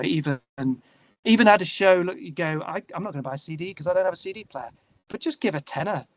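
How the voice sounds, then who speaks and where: A man's voice: fairly high pitch, no room sound. A British man with a slightly raised voice talks as a guest in a casual interview. He is earnest and discursive, circling back over phrases. The sound is close and dry, with a slightly dull, lo-fi edge. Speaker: interview guest, musician and songwriter.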